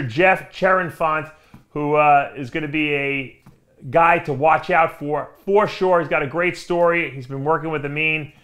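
A middle-aged man hosting a video interview show from a studio, asking questions with lots of quick interjections. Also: fairly high pitch. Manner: energetic, interjecting often. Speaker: host. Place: studio with branded backdrop.

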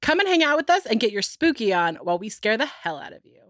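A woman's voice: fairly high pitch, very animated, quick pace, a bit bright, no echo, studio quality, soft background music. A woman co-hosting a podcast, reading a casual scripted promo. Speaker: podcast co-host.

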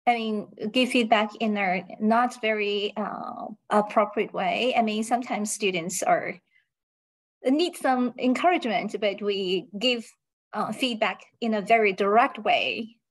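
A woman's voice: medium pitch, unhurried. A woman whose first language is Chinese, a teacher chatting casually in an online discussion. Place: indoors, on a video call.

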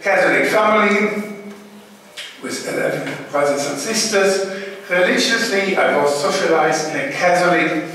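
A man in his sixties with a German accent, his native tongue, lectures in a high-pitched voice. He sets out each phrase deliberately and with emphasis, in formal academic style. The sound is very echoey and distant, as if picked up across a hall. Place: indoor hall, standing at a lectern. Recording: echoey hall, distant mic, faint noise.

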